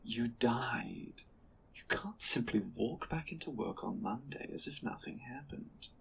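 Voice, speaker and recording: An actor playing an amused, condescending superior of a sci-fi order in an audio roleplay, speaking in a measured, theatrical, wry manner. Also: mid-range voice, lively intonation, creaky, slight background noise. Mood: firm, patronizing. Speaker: guest voice actor.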